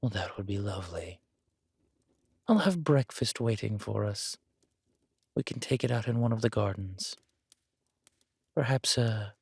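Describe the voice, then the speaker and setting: A man playing a controlled, vengeful villain king who speaks with measured, quiet menace in an intimate audio roleplay. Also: on the low side, expressive, vocal fry, husky, no echo, clean studio sound, exaggerated voice. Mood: coldly courteous.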